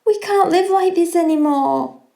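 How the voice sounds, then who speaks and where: A woman's voice: extremely high pitch, normal speed, gravelly, dark tone. An actress playing a young daughter in an audio drama, earnest and insistent as she pleads to leave home. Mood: frustrated, accusing.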